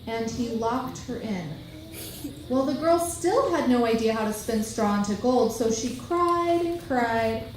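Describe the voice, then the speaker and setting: A woman's voice: a little high, expressive, a little slow. A woman narrator reading a children's tale aloud with storytelling expression, in a very echoey room with faint noise.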